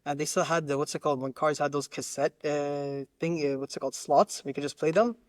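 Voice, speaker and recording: A male podcast guest with a fairly high-pitched voice, recorded very dry. He tells stories casually and circles around his point, repeating words and halting as he looks for the right phrase. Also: fairly even intonation, dry recording. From Lebanon, raised in Qatar. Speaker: musician interview guest.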